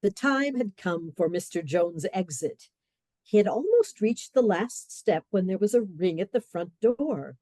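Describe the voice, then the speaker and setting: A woman narrates a comic radio play as a scripted story. Her voice is mellow and mid-pitched. The recording is dry, with no room echo. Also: lively intonation, unhurried.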